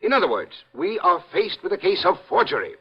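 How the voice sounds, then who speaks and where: An American actor playing a pompous, self-important insurance executive of about sixty in a 1950s radio drama, fussy and emphatic. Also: male voice, high voice, sing-song, fairly quick, breathy edge, exaggerated voice. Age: 60s. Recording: muffled old radio recording.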